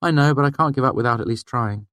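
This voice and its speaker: A man's voice: medium pitch, fast talker, fairly bright tone, no echo, voice pushed up, close to an everyday voice. A man voicing a wry young woman traveler. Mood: weary. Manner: dry, teasing.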